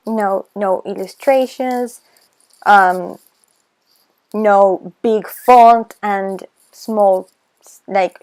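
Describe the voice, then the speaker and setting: Slow, hesitant Argentinian teen girl. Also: mid-range voice, a little mellow. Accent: Argentinian Spanish. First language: Spanish. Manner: slow, hesitant, self-conscious, many pauses. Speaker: booktuber. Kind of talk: casual voice-over vlog.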